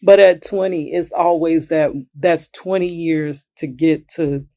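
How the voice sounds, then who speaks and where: A woman in her forties speaking as a mother in a casual family chat, reflective and quick to laugh. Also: deep voice, steady tone, warm tone. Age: 40s.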